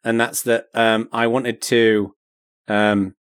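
Male co-host, mid-pitched, ranting casually with restarts; dry, close sound. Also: flat delivery, slow, fairly bright tone, clean tone, no echo. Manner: ranting, frequent restarts and stumbles. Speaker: podcast co-host. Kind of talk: casual tech chat.

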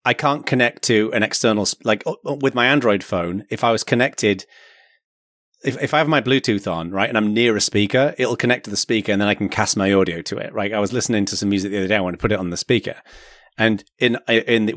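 A man's voice: lowish voice, fast talker, fairly bright tone, no echo, clean studio sound. A man co-hosting a casual tech podcast, ranting about small annoyances with frequent stumbles and restarts. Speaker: podcast co-host.

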